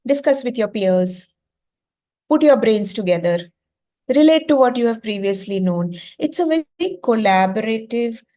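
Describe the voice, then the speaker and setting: A woman's voice: medium pitch, mellow. Indian woman teaching, with emphatic delivery. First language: Gujarati. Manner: emphatic, repeats phrases, checks in with rhetorical questions. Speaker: educator leading a masterclass on reading. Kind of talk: explanatory teaching talk.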